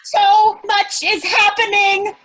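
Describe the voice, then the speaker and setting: A woman's voice: extremely high pitch, level tone, takes it slowly, a bit bright, heavily acted, poor audio. An actress in a staged reading playing an anxious, easily overwhelmed woman.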